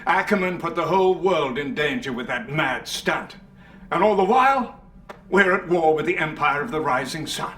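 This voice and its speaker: Bright, forceful military leader. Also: male voice, fairly high pitch, expressive, moderate pace, distant mic. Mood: relieved, justifying. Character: commanding male allied military leader, brisk and self-righteous. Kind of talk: video game cutscene acting.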